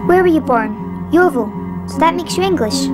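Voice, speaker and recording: A woman narrating her own documentary in a calm, reflective way. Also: high-pitched, moderate pace. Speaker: narrator and filmmaker. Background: music.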